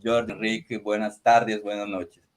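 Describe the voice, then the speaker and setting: A man's voice: fairly low pitch, flat delivery, measured pace. A man hosting a radio interview, phrasing his introductions and questions in a wordy, searching way. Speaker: radio host.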